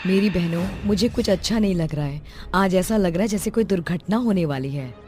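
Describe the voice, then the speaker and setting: A woman voicing a cautious, solemn witch who helps villagers in an animated horror story. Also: lowish voice, a little fast, loud music behind the voice, lightly acted. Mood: uneasy.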